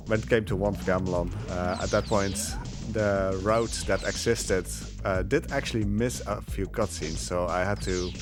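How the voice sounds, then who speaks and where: A man giving casual live speedrun commentary in a halting, rambling, amused way. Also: fairly low pitch. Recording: game music behind the voice. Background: game music.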